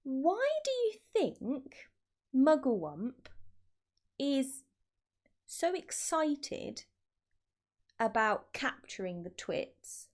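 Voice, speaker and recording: A woman reading aloud to children as an expressive storyteller, speaking directly to her young listeners. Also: sits high, drawn-out words, long pauses. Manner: addresses child listeners with questions.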